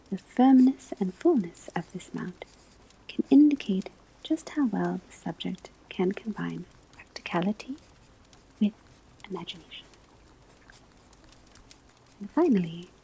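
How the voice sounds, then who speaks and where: A woman whispering in a breathy, husky voice, delivering a scripted ASMR classroom lecture as a teacher, with deliberate, measured phrasing. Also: mid-range voice, lively intonation, warm tone, low-quality recording. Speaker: ASMR performer playing a divination class teacher.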